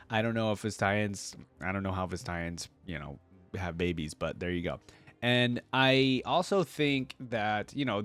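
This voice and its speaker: A man hosting a reaction video, giving casual, conversational commentary that rambles along. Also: lowish voice.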